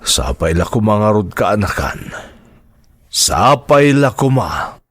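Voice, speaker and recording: A regretful middle-aged uncle in a radio drama, speaking with a Filipino accent. His low voice rises and falls widely as he haltingly apologizes, close and dry on the microphone. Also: very animated, a bit bright, slightly rough, no room sound, full character voice. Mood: disheartened, resigned. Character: regretful, disheartened uncle of a graduate, humbled and apologetic. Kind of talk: Ilocano radio drama acting.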